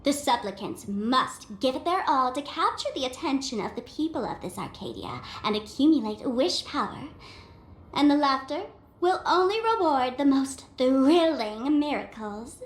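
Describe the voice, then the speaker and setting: A woman's voice: high-pitched, very animated, moderate pace, echoey room. A woman voicing a sly, teasing, theatrical young female trickster in an anime-style video game. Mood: theatrical storytelling.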